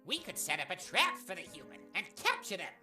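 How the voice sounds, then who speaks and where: A woman's voice: fairly low pitch, huge pitch swings, a little fast, bright, forceful tone, soft background music, heavily acted. A woman playing a boastful, dramatic male skeleton in an audio drama, pompous and emphatic. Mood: proud, scheming. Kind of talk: amateur audio drama character acting.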